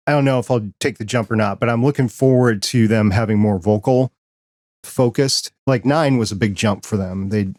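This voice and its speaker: Quiet, low-voiced male podcast host. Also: no echo, studio quality. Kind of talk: casual interview chat. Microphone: dynamic broadcast microphone.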